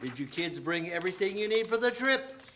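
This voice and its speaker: High-pitched dad, played by a man, long-suffering, exasperated, dry questions, amateur radio skit. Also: sing-song, fairly quick, fairly bright tone, slight background noise. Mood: checking, patient. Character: long-suffering, literal-minded dad driving the family. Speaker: radio play actor.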